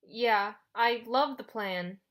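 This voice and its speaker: A woman's voice: on the high side, slow pace, fairly bright tone. A woman voicing a nervous, eager young male sidekick in an audiobook, hesitant and blurting when flustered. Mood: nervously upbeat.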